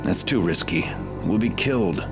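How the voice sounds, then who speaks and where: A low-voiced, stubborn loner of a thief for a game cutscene. He is guarded and cynical, and his delivery swings widely, with music behind him. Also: animated, moderate pace. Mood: wary, doubtful. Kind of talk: video game cutscene acting.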